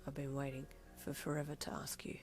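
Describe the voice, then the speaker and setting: A woman voicing a gentle, hesitant nineteen-year-old boyfriend in a soft, hushed voice, in a romance story. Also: rumbling low, flat delivery, fairly quick, faint music behind, pitched below the performer's own voice. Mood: gentle, loving. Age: young adult. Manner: tender, hesitant.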